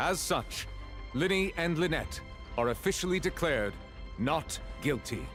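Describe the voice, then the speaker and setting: Formal stern judge, careful and deliberate, moves his pitch around. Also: sing-song, over music. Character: stern, formal male chief justice. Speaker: chief justice. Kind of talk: video game voice acting.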